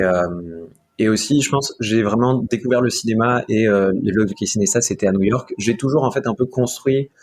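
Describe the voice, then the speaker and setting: A man's voice: lowish voice, a little mellow, husky. A young man from Marseille talking casually as an interview guest about his video work, rambling with many fillers and restarts. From France, Marseille.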